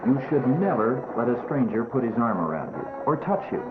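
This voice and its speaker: A man narrates an educational film, speaking straight to children in an admonishing way. His pitch moves a great deal, and the muffled vintage soundtrack carries clear music behind him. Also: sing-song, brisk. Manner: admonishing, addressing children directly. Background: background music.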